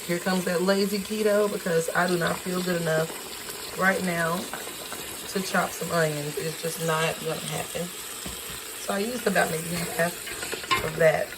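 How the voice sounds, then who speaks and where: Hoarse lady cooking in her kitchen, low voice, tired and chatty, pan sizzling, noisy recording. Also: steady tone, unhurried. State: hoarse, lost voice from sinus infection. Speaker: home cook vlogger. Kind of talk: casual cooking demonstration. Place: home kitchen. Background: sizzling and frying in a pan.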